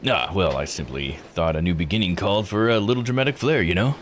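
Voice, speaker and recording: A man's voice: sits low, fast, slight background noise, lightly acted. A man voicing a cheerful American fantasy author from a visual novel, with grand, flowery dramatic flair.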